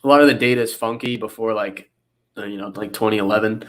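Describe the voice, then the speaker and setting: A man's voice: lowish voice, fast talker, fairly bright tone. Young guy w a cold, sounds stuffed up and nasal, rambly with tons of ums, video call. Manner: rambling with many fillers and restarts. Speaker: guest analyst. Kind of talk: casual explanatory interview.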